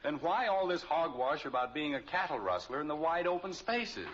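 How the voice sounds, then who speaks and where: A man's voice: fairly high pitch, big pitch swings, normal speed, a bit bright. An actor playing an exasperated, sarcastic middle-aged American father in a mid-century radio sitcom. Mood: exasperated, dry. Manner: dry, sarcastic. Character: exasperated, wisecracking father of a suburban family. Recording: dull, noisy old radio recording.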